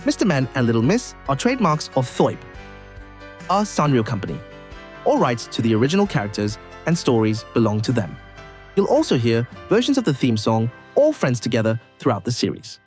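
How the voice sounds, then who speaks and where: A man's voice: medium pitch, sing-song, a little fast, a little mellow, soft background music. A man reading a scripted disclaimer as an announcer.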